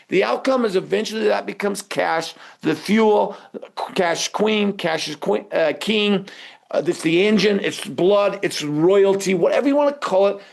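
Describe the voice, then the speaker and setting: A man's voice: high voice, vocal fry. A man teaching a live webinar in a conversational way, prompting listeners with rhetorical questions. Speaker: webinar instructor.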